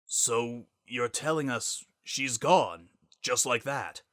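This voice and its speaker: A man's voice: mid-range voice, expressive, slow pace, fairly bright tone, no echo, clean studio sound. A man playing a muscular, protective, plain-spoken pony father in a dramatic story reading. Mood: upset, disbelieving.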